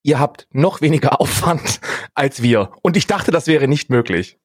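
A man's voice: medium pitch, expressive, moderate pace, breathy edge, no room sound. A man co-hosting a casual comedy podcast, joking in a sardonic, slangy way. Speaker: podcast co-host.

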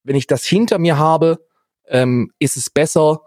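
A man's voice: a little high, unhurried, darkish tone, dry recording, clean studio sound. A man casually telling personal stories as a podcast co-host, self-deprecating and given to dramatic exaggeration.

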